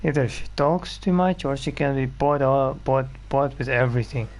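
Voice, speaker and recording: A man's voice: medium pitch, moderate pace, a little hiss or hum. A man giving casual, slangy, grumbling commentary as he plays a video game. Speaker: gaming streamer.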